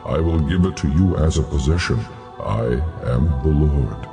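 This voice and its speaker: A very deep, solemn divine male voice, declaring and commanding with stately authority in a scripture audio drama. Music plays clearly behind the words. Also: normal speed. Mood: solemn promise.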